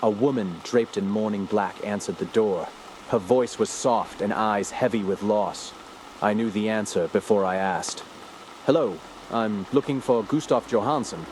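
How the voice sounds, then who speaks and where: An actor playing a dread-filled investigator, narrating in the first person with solemn, literary drama for a radio play. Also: male voice, on the low side, a little slow, darkish tone, natural voice, low-quality recording. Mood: somber, dread. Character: grave, dread-filled male investigator recounting his findings. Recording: clear background ambience noise.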